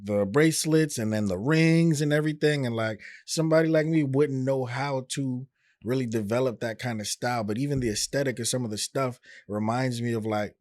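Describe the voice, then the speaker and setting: A man's voice: mid-range voice, a little fast, a little mellow, no echo, clean studio sound. A man hosting a podcast interview, asking questions and teasing his guest playfully. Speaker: podcast host. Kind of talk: casual interview chat.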